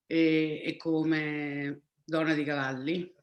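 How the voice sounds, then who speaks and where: A woman's voice: deep voice, flat delivery, slow, highs cut off. A woman psychologist introducing herself on a video call, carefully searching for precise wording with restarts. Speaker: psychologist and horsewoman. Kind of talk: formal self-introduction.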